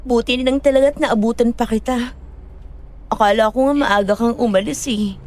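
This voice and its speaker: A woman's voice: a little high, steady tone, normal speed, darkish tone, faint music behind, close to an everyday voice. A woman playing an upset, stubborn young woman in love who pleads insistently in an audio drama. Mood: relaxed, affectionate. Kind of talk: Filipino audio horror-story drama acting.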